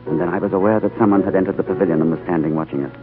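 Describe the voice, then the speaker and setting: A man's voice: low-pitched, racing, natural voice. An actor playing an earnest, thoughtful younger man who narrates an adventure in 1940s radio drama style, with a dramatic, reverent delivery. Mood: reflective, hushed. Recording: tinny 1940s radio recording with music.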